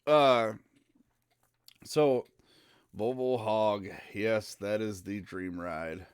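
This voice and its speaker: Male streamer, low smooth voice, easygoing and rambling. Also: drawn-out words, dry recording, clean studio sound. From central Minnesota. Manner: rambling and easygoing, with frequent "uh" fillers. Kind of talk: casual live-stream chat.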